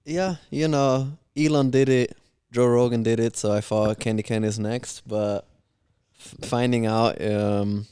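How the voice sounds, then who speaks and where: A man's voice: medium pitch, steady tone, a little slow, dark tone, clear, resonant tone, dry recording, studio quality. A man from Austria, a podcast guest talking bluntly and opinionatedly in casual chat. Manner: blunt, opinionated, swears freely.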